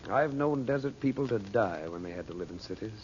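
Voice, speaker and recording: A man's voice: lowish voice, expressive, fairly quick, a little mellow, natural voice. An actor playing a kindly, protective uncle in an old radio drama, speaking in a reassuring, fatherly manner. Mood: tender. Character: kindly, steady American uncle, gently protective. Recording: dull, noisy old radio recording.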